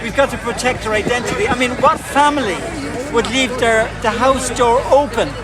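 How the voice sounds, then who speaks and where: A man's voice: very high-pitched, normal speed. An older man at a street protest, speaking earnestly and emphatically in an interview, raising his voice at times over the noise. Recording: loud crowd and music at an outdoor protest.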